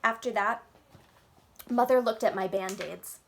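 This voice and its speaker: A woman's voice: mid-range voice, unhurried, gravelly. A woman reading a children's book aloud expressively, as a library storyteller, with dramatic, childlike first-person narration.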